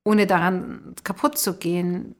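A woman's voice: lowish voice, steady tone, unhurried. A woman hosting a podcast interview, thinking aloud and searching for her words in a conversational way. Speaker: podcast host.

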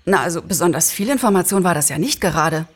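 A woman's voice: lowish voice, lively intonation, fast. A woman voicing a hesitant but generous female character in a storybook reading, speaking in a chatty way. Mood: skeptical.

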